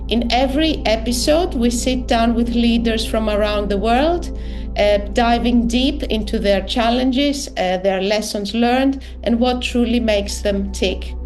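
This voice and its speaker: A woman hosting an interview podcast, speaking carefully with frequent hesitations and fillers. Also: fairly high pitch. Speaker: podcast host.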